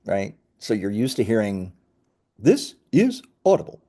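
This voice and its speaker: A man's voice: fairly low pitch, wildly swooping pitch, a little slow, mellow. A man speaking as a company founder in an interview, explaining conversationally with emphatic, self-correcting phrasing.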